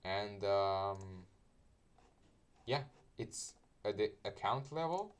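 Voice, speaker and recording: A man giving a casual step-by-step software tutorial, speaking in a halting, loosely phrased way with frequent filler asides. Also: lowish voice, animated, clean tone. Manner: hesitant, halting, loose phrasing with repeated "you know" asides. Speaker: marketing tutorial host and media buyer.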